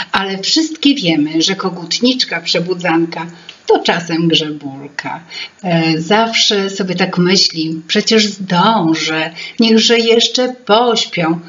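A woman voicing a chatty female fairy-tale coffee-pot, a placating sister who gently complains. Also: fairly low pitch, dull audio.

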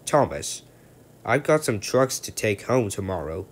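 A man voicing a kind, gentle little male engine in a storybook. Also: lowish voice, big pitch swings, measured pace, husky, full character voice. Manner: gentle, kindly.

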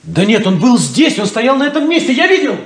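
An actor playing the excitable, naive younger son of a ranching family in a 1970s radio drama. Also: male voice, very high voice, a little fast, heavily acted. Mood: bewildered.

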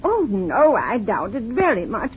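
Flustered tearful spinster, high and fussy, old radio sound. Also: female voice, huge pitch swings, a little slow, slight background noise. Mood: distraught. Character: fluttery distraught older spinster friend. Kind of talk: 1950s radio drama acting. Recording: dull lo-fi 1950s radio broadcast.

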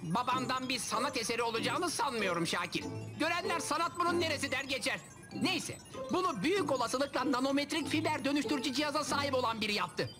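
A woman voicing a dry, know-it-all male meerkat inventor in a cartoon, deadpan and matter-of-fact. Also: high voice, quick pace, music in the background. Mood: dry, explaining.

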